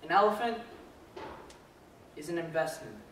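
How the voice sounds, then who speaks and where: A man's voice: a little high, sing-song, normal speed, a bit bright. A man on stage playing a bitter, ruthless circus boss in a readers theater drama. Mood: cold, commanding. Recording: very echoey hall, distant mic, faint noise.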